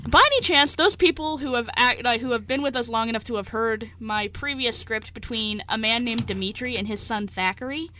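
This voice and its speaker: A woman's voice: a little high, a little fast, few pauses, sharp tone, slight background noise. A young woman chatting informally as a co-host on a radio show. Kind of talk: casual chat. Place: radio studio.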